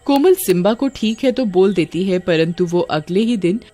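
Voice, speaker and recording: A woman narrating a cartoon horror story in an even, storybook manner. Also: mid-range voice, moderate pace, music in the background.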